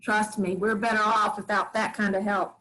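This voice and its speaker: A woman's voice: medium pitch, little pitch movement, normal speed, bright, forceful tone, lightly acted, rough recording. A woman reading a wry old farm widow. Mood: dry. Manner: dry, wry, plainspoken rural talk. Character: Grams, weary old farm widow, dry and stubborn. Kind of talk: staged play reading.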